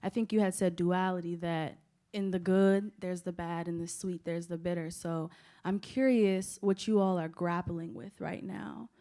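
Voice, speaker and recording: A woman's voice: on the low side, steady tone, normal speed, dark tone. A woman moderating a post-show discussion in an appreciative, encouraging way as she addresses the panel and audience.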